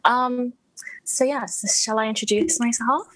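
A woman's voice: on the high side, measured pace, fairly bright tone, no room sound, low-quality recording. A young woman from Malaysia with an international, American-leaning English accent, chatting as a podcast guest and giggling between points. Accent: international English with an American lean. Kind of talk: casual chat.

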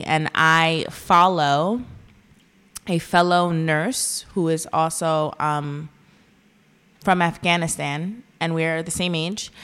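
A young woman co-hosting a podcast, speaking earnestly in a halting, casual way with many fillers. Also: low voice, a bit bright, clean tone. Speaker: podcast co-host.

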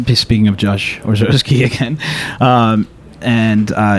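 A man hosting a radio show in a casual, conversational way, rambling a little and reworking his phrases as he goes. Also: lowish voice.

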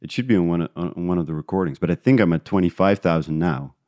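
Really deep smooth guy chatting in an interview, kinda halting, thinking out loud. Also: no room sound. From Netherlands. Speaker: guest, independent podcast creator. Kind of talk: casual interview conversation.

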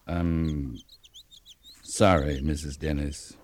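An actor playing a weary, authoritative cavalry captain in a 1950s radio western, with a clipped, commanding delivery. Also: male voice, extremely low pitch, steady tone, drawn-out words, a little mellow, full character voice. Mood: subdued. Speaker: radio drama lead actor. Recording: noisy old radio recording.

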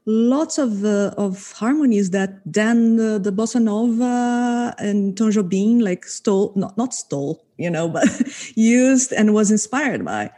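A woman from Brazil interviews a guitarist in a relaxed conversation. She is friendly and laughs easily as she asks her questions. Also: medium pitch, measured pace.

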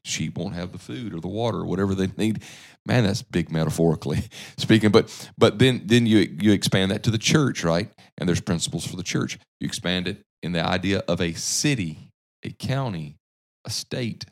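A man in his thirties with a deep, low voice, making his points like a preacher on a church podcast. He talks earnestly and often restarts a sentence partway through as he works out the thought. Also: moderate pace, creaky. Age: 30s. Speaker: podcast host.

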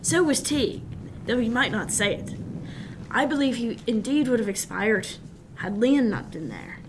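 A woman playing a composed, sensible seafarer in a period radio drama, speaking in a measured, matter-of-fact way. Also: a little high, lively intonation, noisy background, natural voice. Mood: confiding.

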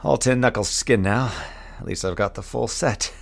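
A man playing a low-voiced, lonely moonbase crewman. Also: normal speed, vocal fry. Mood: exasperated. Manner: muttering to himself, wry and brooding. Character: lone, weary, bookish moonbase crewman keeping watch. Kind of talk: audio drama monologue, recorded log. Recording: background noise under the voice.

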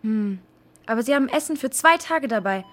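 A woman's voice: fairly high pitch, lively intonation, a little fast, lightly acted. A woman playing a hopeful, caring friend waiting at base in a children's audio drama.

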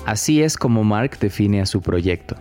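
Low-voiced male podcast narrator. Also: lively intonation, normal speed, a little mellow, faint music behind. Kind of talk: scripted documentary narration.

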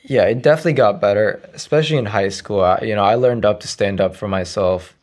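A young man around twenty, raised in Iraq with Arabic as his first language, answering interview questions in a soft, hesitant way. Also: fairly low pitch, quick pace. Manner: soft-spoken, hesitant, frequent "you know" fillers. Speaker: interview guest, young poet. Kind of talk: casual conversational interview.

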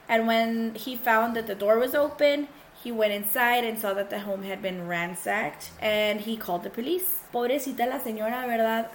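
Woman podcast co-host, hoarse from illness, bilingual casual storytelling, faint noise. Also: fairly high pitch. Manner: switches between English and Spanish mid-sentence. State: sick, coarse voice. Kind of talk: casual true-crime chat.

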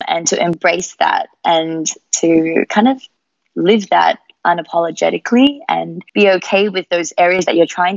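A woman's voice: fairly low pitch, creaky, no echo, dull audio. A woman in her thirties with an Australian accent, speaking candidly and reflectively as a podcast guest. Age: 30s. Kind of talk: interview conversation.

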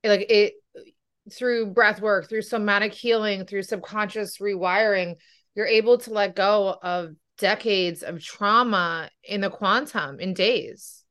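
Bright, crisp-voiced woman podcast host. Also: mid-range voice, unhurried. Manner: enthusiastic, often adds "right?". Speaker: podcast host, weight loss coach. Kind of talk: podcast interview.

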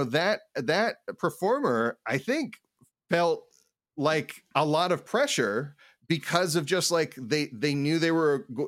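A man co-hosting a podcast, talking casually about tabletop gaming in a halting way, thinking aloud in fragments. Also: a little high, no room sound, studio quality. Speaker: podcast co-host. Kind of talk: casual chat about tabletop role-playing.

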